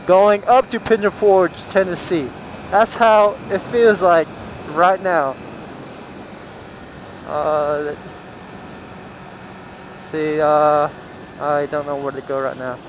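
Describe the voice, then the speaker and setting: A man's voice: a little high, fairly even intonation, slow, long pauses, slight background noise, low-quality recording. A man giving casual, rambling commentary while riding a motorcycle, thinking aloud as he goes. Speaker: motorcycle vlogger. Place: outdoors, riding a motorcycle on city roads.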